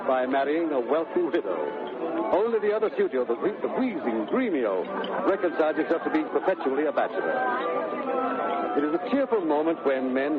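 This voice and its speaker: A man acting as a formal, wry storyteller, reading scripted narration for a 1930s radio play. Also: a little high. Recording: tinny 1930s radio, music and crowd behind.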